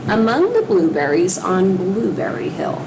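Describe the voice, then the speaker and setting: A woman reading a children's story aloud slowly, with a lively, swooping voice and a gravelly edge. Outdoor traffic noise hums behind her. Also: mid-range voice. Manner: slow, expressive storytelling.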